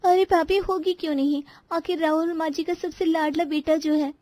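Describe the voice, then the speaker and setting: A woman's voice: very high-pitched, fairly even intonation, normal speed, mellow, no room sound. A woman voicing a chatty, teasing young daughter-in-law in a cartoon family drama. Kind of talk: animated family drama voice acting in Hindi.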